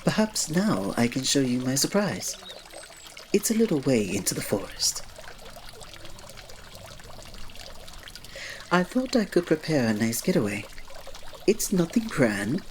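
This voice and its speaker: A man playing a reserved, devoted royal servant in an ASMR roleplay, speaking formally and politely with quiet fondness and restraint. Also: fairly high pitch, big pitch swings, normal speed, a little hiss or hum. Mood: gently eager. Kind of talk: ASMR roleplay, scripted drama.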